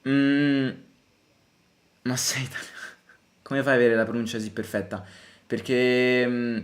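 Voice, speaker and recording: A young man from Italy with a voice of ordinary, middling pitch hosts a live football stream for fellow fans. He talks in a loose, chatty way, reads out viewers' questions and jumps into excited calls when something happens on the pitch. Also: normal speed. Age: young adult. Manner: chatty, answering chat questions between bursts of excited play reactions. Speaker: fan channel host. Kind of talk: casual live football watchalong chat.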